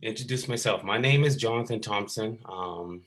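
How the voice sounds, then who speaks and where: A man from the Bahamas in his thirties, a basketball skills coach, talking casually and haltingly over a video call with many ums and restarts. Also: on the low side, fairly bright tone.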